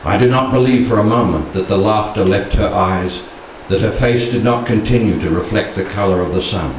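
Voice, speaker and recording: A man in his sixties reciting poetry solemnly, with measured, dramatic phrasing and pauses, into a handheld microphone in a room. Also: on the low side. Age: 60s. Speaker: poetry reader. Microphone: handheld stage microphone. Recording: very echoey room, distant, faint noise.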